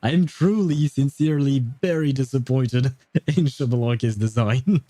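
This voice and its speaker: A man's voice: medium pitch, a little slow, warm tone, dry recording. A man casually commentating on a game stream, with a blunt, wry and often profane manner, close to the mic. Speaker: livestreamer.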